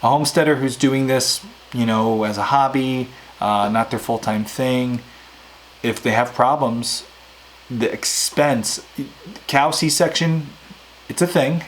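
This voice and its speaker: A man hosting a homesteading Q&A, answering viewer questions in a casual, rambling way with a hoarse voice. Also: mid-range voice, takes it slowly, slight background noise, poor audio. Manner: rambling, conversational, plain-spoken. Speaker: homesteading channel host.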